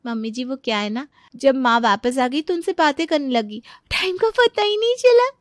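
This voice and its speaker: An actress playing a carefree young daughter-in-law, wheedling and childlike, in a comic family story. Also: female voice, high-pitched, lively intonation, normal speed, no echo, clean studio sound. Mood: giggly, wheedling. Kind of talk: animated family comedy story acting.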